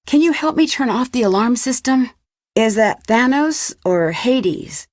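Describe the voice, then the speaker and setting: Young female detective, adventure game. Curious, direct, unflinching, lightly high-pitched, studio-dry. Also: animated, a little slow, no room sound. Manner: inquisitive, direct, composed questioning.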